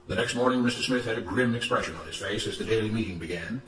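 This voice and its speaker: A man's voice: on the low side, fast, a bit bright, echoey room, far from the mic, slight background noise, rough recording. A man reading a scripted story aloud as its narrator.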